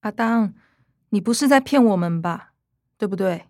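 A woman's voice: mid-range voice, animated, moderate pace, a little mellow, dry recording, studio quality, close to an everyday voice. A woman playing an eager, earnest young granddaughter with a Taiwanese accent in a radio drama. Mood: anxious, pleading.

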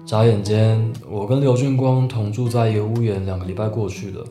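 A man acts an exasperated young office worker telling his own story in a radio drama. His low voice has a Taiwanese Mandarin accent and sits in a roomy, echoing sound over clearly audible guitar music. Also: steady tone, brisk, lightly acted. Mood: wry, confiding. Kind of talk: radio drama acting with inner-monologue narration.

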